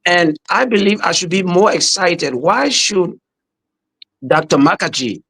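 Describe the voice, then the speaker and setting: A man's voice: sits high, expressive, a little slow, a bit bright, breathy edge. A middle-aged man with a Liberian accent, a minister speaking earnestly in a casual podcast discussion. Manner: earnest, patriotic, repeats words as he talks.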